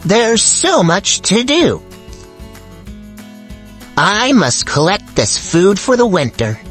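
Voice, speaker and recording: A woman's voice: medium pitch, sing-song, slow pace, soft background music, pitched below the performer's own voice. A woman voicing a hardworking, kindly male ant in a children's storybook, speaking with earnest, effortful emphasis. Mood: strained, busy. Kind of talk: animated children's storybook read-aloud.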